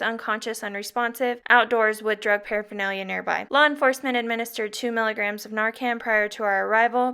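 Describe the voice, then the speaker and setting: Friendly lady doing a medic how-to, highish crisp voice, talks to viewers. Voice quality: clear, bright. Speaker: paramedic instructor, channel host. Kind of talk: conversational tutorial with an example radio report.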